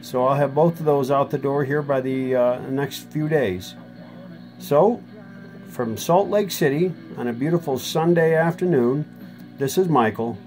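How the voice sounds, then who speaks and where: A man's voice: mid-range voice, measured pace, a little mellow, faint music behind, poor audio. An American man giving a casual, informal demonstration as a folksy video host. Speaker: radio restorer hosting a demo video. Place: indoor workshop room.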